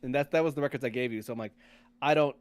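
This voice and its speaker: A man hosting a podcast in casual chat, often repeating and rephrasing himself in a halting way. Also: medium pitch. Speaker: podcast host.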